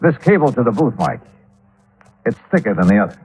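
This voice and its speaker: A shrewd, cool insurance investigator presses his questions in brisk, pointed bursts. His pitch swings widely from low to high. The sound is an old radio broadcast recording. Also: big pitch swings, a little mellow, husky, exaggerated voice, low-quality recording. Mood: deducing. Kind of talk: 1950s radio drama acting.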